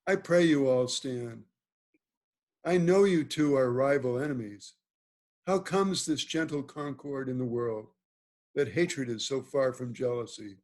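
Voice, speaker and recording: A man's voice: mid-range voice, unhurried, darkish tone, lightly acted. A man playing a noble, commanding duke in a Shakespeare table read, speaking formal, declamatory verse. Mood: genial, questioning. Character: noble duke, commanding and at ease, ordering his hunt. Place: video call.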